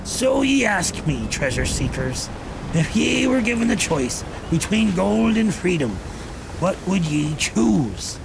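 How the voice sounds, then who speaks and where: A man voicing a cursed, ghostly old pirate captain, telling grim tales in a theatrical, gravelly pirate dialect with an ominous, grandiose manner. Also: high-pitched, very animated, noisy background, exaggerated voice. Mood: ominous, challenging. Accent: pirate-style dialect. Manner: ominous, grandiose, deliberate rhetorical delivery. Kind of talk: theatrical scripted monologue.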